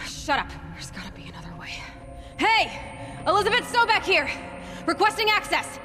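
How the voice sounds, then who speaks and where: An actress playing a sarcastic, blunt and impatient young huntress in a game. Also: female voice, extremely high pitch, big pitch swings, moderate pace, bright, forceful tone, soft background music, exaggerated voice. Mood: frustrated, urgent. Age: young adult. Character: young female hunter, sarcastic, defiant, impatient. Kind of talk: scripted dramatic game dialogue. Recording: reverberant hall.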